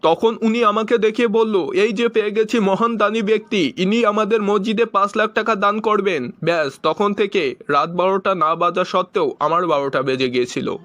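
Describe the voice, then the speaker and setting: Man acting an exasperated, higher-voiced cartoon grumbler. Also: moderate pace, hardly any pauses, fairly bright tone, a little hiss or hum. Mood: rueful. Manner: grumbling, scolding. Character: exasperated, easily irritated man in cartoon skits. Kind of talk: cartoon comedy skit.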